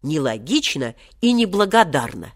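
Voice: mid-range voice, sing-song, normal speed. A storybook reader voicing a young schoolboy who is cheeky, earnest and politely argumentative. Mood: heated. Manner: earnest, pert, bookish politeness. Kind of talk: acted children's storybook reading.

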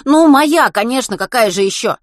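Woman acting an agitated, higher-pitched teenage boy. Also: animated, normal speed, dry recording, clean studio sound, close to an everyday voice. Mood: impatient. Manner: exclamatory, blurting questions.

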